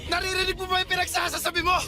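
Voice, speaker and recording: An actor in a radio drama playing a protective, hot-tempered young boyfriend, confrontational in manner. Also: male voice, extremely high pitch, monotone, very fast, music in the background. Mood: furious.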